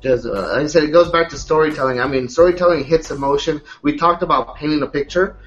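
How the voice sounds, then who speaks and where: Higher-voiced man in casual hip-hop debate. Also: fast, fairly bright tone, lo-fi sound, faint music behind. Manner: rambling, many restarts and "like I said". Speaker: podcast co-host.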